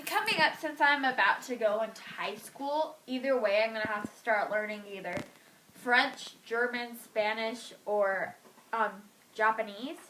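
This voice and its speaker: A woman's voice: on the high side, a little slow, bright, forceful tone, a little hiss or hum, rough recording. A teenage girl answering interview questions casually, with lots of fillers, trailing off at the ends of her thoughts. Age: teens. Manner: chatty, filler-heavy, trailing off.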